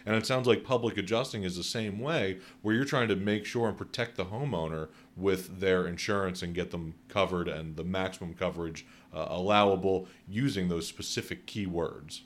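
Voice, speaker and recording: A man's voice: low-pitched, a little fast, hardly any pauses. A man hosting a casual interview podcast, a realtor who asks questions with upbeat affirmations.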